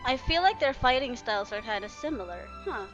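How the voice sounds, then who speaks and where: High, excitable woman gamer, lo-fi mic. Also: fairly quick. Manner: excitable, gushing, sarcastic asides. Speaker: streamer reacting to a game. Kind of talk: playful gameplay commentary, reading choices aloud. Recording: dull lo-fi mic, faint music.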